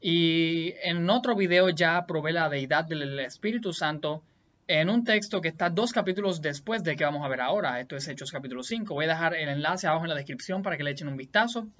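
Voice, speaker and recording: A Puerto Rican man explaining Bible doctrine as the host of a teaching video, in a deliberate, point-by-point, repetitive way. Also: a little high, fairly bright tone, lo-fi sound. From Puerto Rico.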